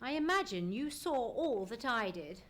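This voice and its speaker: An actor playing a commanding, precise gentleman detective in an old-time radio play, with formal, emphatic diction. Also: medium pitch, big pitch swings, normal speed. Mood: musing, confiding. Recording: live stage radio play recording.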